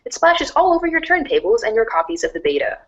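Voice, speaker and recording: A woman reading narration aloud in an animated storybook style for a reader's theater. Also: on the high side, quick pace, highs cut off.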